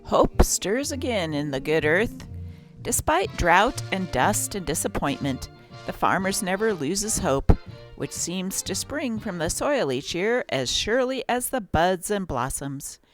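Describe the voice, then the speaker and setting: A woman's voice: fairly low pitch, very animated, measured pace, soft background music. A woman narrating from a script as host, reading an old newspaper aloud.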